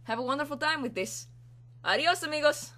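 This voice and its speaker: A woman's voice: high-pitched, animated, fairly quick, fairly bright tone, voice pushed up. A teenage girl acts out a breezy young Spanish man from an anime, with a put-on Spanish accent. The character is cheerful and scatterbrained, rambling playfully as he addresses his listeners. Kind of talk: improvised anime character monologue.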